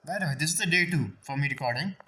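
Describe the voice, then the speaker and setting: A man's voice: on the high side, animated, very fast, bright, forceful tone. A man giving casual, blunt gameplay reaction commentary as a streamer. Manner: blunt, swearing.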